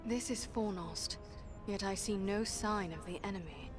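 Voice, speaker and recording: Woman playing a watchful fantasy heroine, medium pitch. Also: moderate pace, over music, natural voice. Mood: wary, serious. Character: watchful, serious female fantasy adventurer. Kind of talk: fantasy video game cutscene acting.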